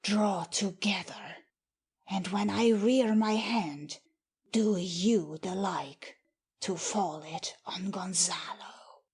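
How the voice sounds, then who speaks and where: A woman playing a cold, ambitious, scheming nobleman in a measured dramatic reading of Shakespeare. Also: mid-range voice, darkish tone, slightly rough. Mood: conspiratorial.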